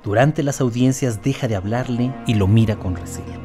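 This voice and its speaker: A man's voice: mid-range voice, normal speed, music in the background. A man reading scripted narration for a documentary audio drama.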